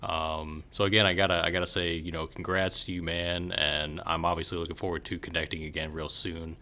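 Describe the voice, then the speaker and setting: An American man hosts a podcast in a casual, conversational way. His voice is very low, with a crisp, forceful brightness. He speaks in an encouraging, affirming manner. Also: flat delivery, a little fast. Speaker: podcast host. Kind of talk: casual interview chat.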